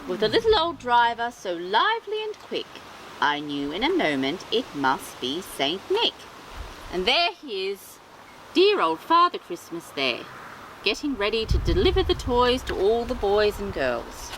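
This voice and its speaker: A woman's voice: mid-range voice, huge pitch swings, measured pace, a bit bright, slight background noise, low-quality recording. A woman reading a picture book aloud to young children, adding cosy, chatty asides and repeating herself. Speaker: storyteller and host of a children's show. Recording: music behind the voice. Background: clearly audible music.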